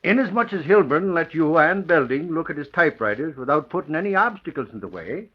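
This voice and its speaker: Excitable high-pitched newspaperman, old radio. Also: fairly quick, slightly rough. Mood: eager. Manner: garrulous, emphatic. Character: excitable male newspaperman, blustery, eager. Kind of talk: 1940s radio acting. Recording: muffled vintage radio recording.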